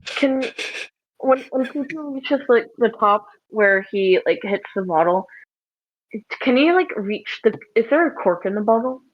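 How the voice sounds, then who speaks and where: A woman playing a tabletop game, casually describing actions in a halting way that repeats words. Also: on the high side, animated, no echo, lo-fi sound.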